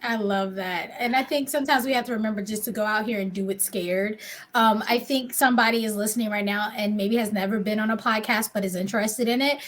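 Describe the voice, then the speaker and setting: A woman's voice: medium pitch, fairly even intonation, fast, a little hiss or hum. A woman hosting a podcast interview, chatty and encouraging, with frequent fillers. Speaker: podcast host.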